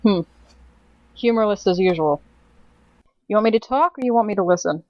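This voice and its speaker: A man's voice: high-pitched, moderate pace, no echo, voice pushed up. A man playing a conscience-troubled wanderer in a tabletop game, hesitant and reflective, on slightly dull audio. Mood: wry. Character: uncertain, conscience-troubled wanderer far from home.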